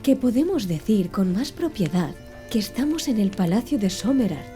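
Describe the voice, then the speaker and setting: A woman voicing a calm, learned Flemish servant who speaks in a formal, measured way, for a storybook reading. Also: mid-range voice, soft background music, natural voice. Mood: composed.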